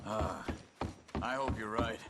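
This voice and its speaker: An actor playing a sober, low-key Old West gang gunman in a video game cutscene, speaking tersely. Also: takes it slowly. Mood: subdued, weary. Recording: clear noise behind the voice.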